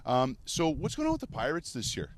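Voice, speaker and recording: A man's voice: fairly high pitch, wildly swooping pitch, a little fast, a little hiss or hum. A man from Montreal hosting a casual outdoor show by a city river, chatty and jokey. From Montreal, Canada. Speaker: host.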